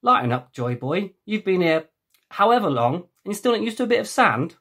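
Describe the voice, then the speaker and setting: A cheeky adventurous boy from a storybook, voiced by a man, playful and full of teasing banter. The voice is husky and lifts up from an ordinary talking pitch. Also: sing-song, fairly quick, fairly bright tone, heavily acted.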